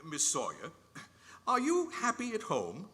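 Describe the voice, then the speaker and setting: An actor playing a jolly, kindly old man who believes he is Santa, gently teasing and hesitant in a live radio play. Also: sits high, huge pitch swings, a little slow, heavily acted. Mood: amused, teasing. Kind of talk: live 1940s-style radio play acting.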